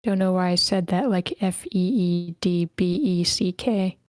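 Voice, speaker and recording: A woman's voice: lowish voice, a little slow, a little mellow, dry recording. Casual woman host, dry close sound. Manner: informal, self-deprecating, swears casually. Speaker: host of an online screenplay table read. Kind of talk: casual spoken feedback. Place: online group meeting.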